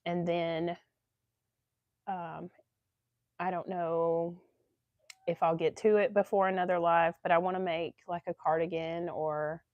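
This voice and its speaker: A woman's voice: fairly low pitch, flat delivery, a little slow, long pauses, dry recording, highs cut off. A woman hosting a casual sewing livestream, stuffy from allergies, chatting along with lots of ums. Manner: chatty, rambling with many ums. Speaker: sewing channel host.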